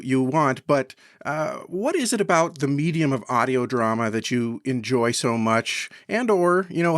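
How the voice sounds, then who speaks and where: A man's voice: medium pitch, a bit bright, no echo, studio quality. A man hosting a podcast in a conversational, enthusiastic manner. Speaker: podcast host.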